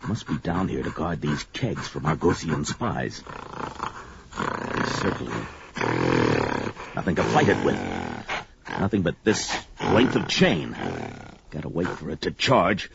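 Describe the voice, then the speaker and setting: Casting: man playing bold barbarian warrior, low voice with wildly swinging delivery, grim and defiant, pulp audio drama. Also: big pitch swings, measured pace, vocal fry, long pauses, background noise, heavily acted. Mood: tense, calculating. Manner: melodramatic, narrates own actions aloud, defiant. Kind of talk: audio adventure drama acting. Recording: dull lo-fi audio drama under music.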